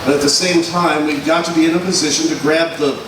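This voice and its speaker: Earnest reporter male actor, reasonable and insistent, slightly high voice, vintage radio style, far-off mic in a reverberant space. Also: fairly even intonation, brisk, close to an everyday voice. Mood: placating, firm. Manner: placating, insistent, explanatory. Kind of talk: old-time radio drama acting. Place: live stage recreation in a large hall. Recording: echoey hall from far off, noisy.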